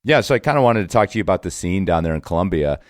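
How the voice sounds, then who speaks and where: A man with a low, deep voice hosts a podcast in a casual, conversational style. The sound is dry, with no room around the voice. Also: animated, rapid-fire, dry recording. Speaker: podcast host. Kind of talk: casual chat.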